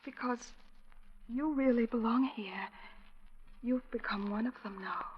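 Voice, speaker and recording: An actress playing a fearful, dreamy young woman in secret love in an old-time radio drama, hushed, breathy and earnest. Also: on the high side, a little slow, natural voice. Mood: sad, afraid. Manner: wistful, earnest, theatrical. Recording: dull vintage radio recording.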